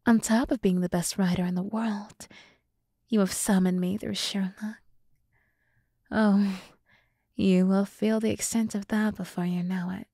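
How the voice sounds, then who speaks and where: A smug, seductive demoness, acted by a woman, devotes herself to her master in an ASMR roleplay. Her voice is slow and breathy with a creaky edge, often sinking into whispers. She boasts theatrically and flatters sweetly. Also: medium pitch, no room sound, studio quality. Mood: boastful, devoted.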